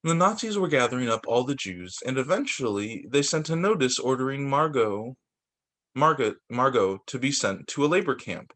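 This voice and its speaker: A man's voice: medium pitch, expressive, moderate pace, fairly bright tone. A man reading a children's book aloud as a teacher, occasionally stumbling, repeating phrases and adding casual asides. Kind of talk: children's story time read-aloud.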